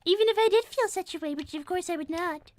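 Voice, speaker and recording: A prissy little rabbit girl, as a woman voices her with a sky-high, piping voice and stiff, proper diction full of old-fashioned exclamations. She sounds close and dry, with almost no room around her. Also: fast, darkish tone, no echo. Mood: prim. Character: prim, prissy young female rabbit girl. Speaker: character voice actor on a live stream. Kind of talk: improvised live character roleplay.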